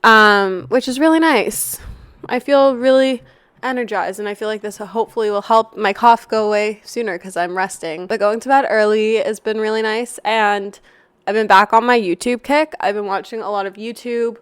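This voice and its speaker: Young female podcast host, hoarse and raspy, loose rambling chat, smooth tone under the rasp. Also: medium pitch, moderate pace, no room sound. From Canada. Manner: chatty and rambling, lots of "like". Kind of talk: casual solo podcast chat. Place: apartment, not her usual studio.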